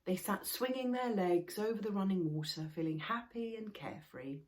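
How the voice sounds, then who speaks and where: A woman reading a children's book aloud in an expressive way, sometimes dropping to a whisper. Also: mid-range voice, normal speed. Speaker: storybook reader.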